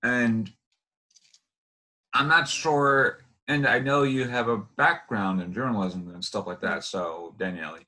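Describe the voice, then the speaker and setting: A man hosting an informal interview, asking rambling questions in a halting way as he searches for words. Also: medium pitch, bright, forceful tone. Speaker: interview host.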